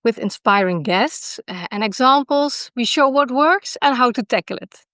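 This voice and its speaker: A woman's voice: on the high side, lively intonation, moderate pace, a bit bright, no room sound, studio quality. A woman hosting a podcast interview, friendly and encouraging, with many short agreements. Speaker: podcast host.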